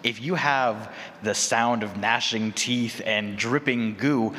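A man's voice: medium pitch, slow, a bit bright. A man talking informally and agreeably as a podcaster in a casual panel discussion. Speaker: horror fiction podcaster on a panel. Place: large echoey room at a convention panel. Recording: echoey hall panel recording.

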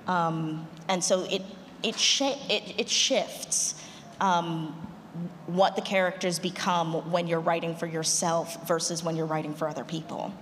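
A woman's voice: fairly low pitch, fairly even intonation, measured pace, fairly bright tone. A woman speaking off the cuff as a panelist and audio drama creator, thinking aloud about the craft. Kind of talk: unscripted panel talk. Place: large panel room at a convention. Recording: echoey hall, noisy, roomy pickup.

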